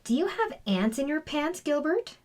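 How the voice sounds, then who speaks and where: A woman's voice: high voice, very animated, normal speed, darkish tone, voice pushed up. A woman reading a storybook, voicing a kindly schoolteacher who encourages her class. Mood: questioning. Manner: encouraging, addressing a class of children.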